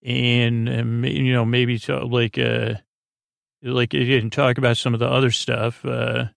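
A man hosting a bedtime podcast in a rambling, unscripted way, halting and repeating himself, with a goofy, whimsical, self-deprecating manner. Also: mid-range voice, little pitch movement, creaky, no echo, clean studio sound. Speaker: podcast host.

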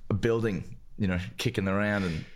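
An Irish man co-hosting a comedy podcast, playful and quick to laugh in casual chat. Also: on the low side, fairly even intonation. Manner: playful, giggly, swoops into silly voices. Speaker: podcast co-host.

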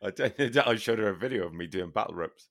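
A man's voice: lowish voice, brisk, a bit bright. A man co-hosting a podcast, teasing dryly in casual comic chat. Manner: dry, teasing, playful. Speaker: podcast co-host.

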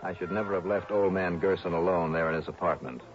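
A man's voice: low-pitched, fairly even intonation, quick pace, over music, close to an everyday voice. An actor playing a hard-boiled male insurance investigator who narrates his own case in a dry, wry, clipped way, in a 1960s radio drama. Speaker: narrator and lead. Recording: dull lo-fi 1960s radio broadcast.

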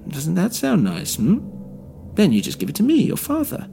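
A man voicing a smug middle-aged male villain who talks in a mocking, gloating, drawn-out way. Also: sits high, huge pitch swings, creaky, over music, voice pushed up, exaggerated voice. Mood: coaxing.

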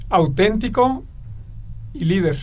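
A man's voice: high voice, animated, takes it slowly, a little mellow, distant mic. A man in his twenties, a guest coach, talking earnestly on a casual podcast. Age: 20s.

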